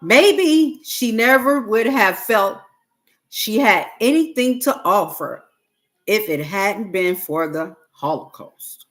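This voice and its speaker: A woman reading a self-help book aloud on a livestream, in deliberate, halting phrases with pauses. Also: medium pitch, animated, fairly bright tone.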